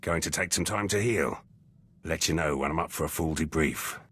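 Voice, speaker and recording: An actor voicing a gruff, battle-hardened soldier in a video game, terse and blunt, speaking in clipped sentences. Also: male voice, very deep voice, normal speed, noisy background. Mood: proud, matter-of-fact. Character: gruff, battle-hardened male military commando, loyal and brash.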